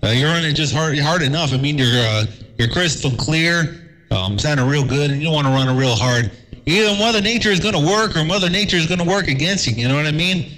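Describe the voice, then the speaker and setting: A man's voice: a little high, expressive, brisk, a bit bright, poor audio. A man chatting casually over amateur radio, laid-back and calling everyone "man".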